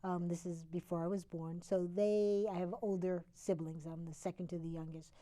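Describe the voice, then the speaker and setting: A woman from Connecticut, a guest on a talk show, explains history conversationally. Her voice is fairly low and mellow, and she pauses often with fillers as she corrects herself. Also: little pitch movement. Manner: halting, with frequent fillers and self-corrections.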